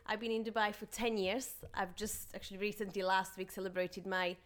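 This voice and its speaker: A woman's voice: mid-range voice, flat delivery, fairly quick. A woman in her thirties from Slovakia, chatting casually as a guest in an interview. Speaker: podcast guest.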